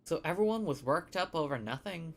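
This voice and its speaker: A woman's voice: deep voice, animated, brisk. A woman game streamer giving casual, playful, reactive live commentary and reading dialogue choices aloud.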